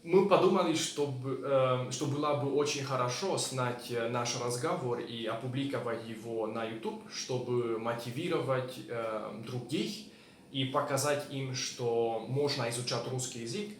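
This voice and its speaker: A man's voice: mid-range voice, unhurried, roomy sound, a little hiss or hum. A man, not a native speaker, hosting a casual interview and speaking with frequent hesitant fillers. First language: not Russian. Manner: hesitant, many fillers between words. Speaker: host, language learner.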